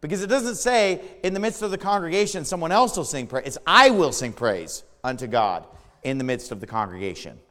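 Hoarse-voiced man preaching in a hall. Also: a little high, sing-song, fairly quick, a bit bright. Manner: emphatic preaching with rhetorical questions. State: strained voice from overuse. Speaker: pastor. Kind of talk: sermon.

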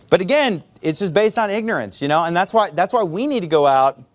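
A middle-aged man preaching a sermon in a church, emphatic and sarcastic, with sudden shouted words. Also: high-pitched, lively intonation, normal speed. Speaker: pastor.

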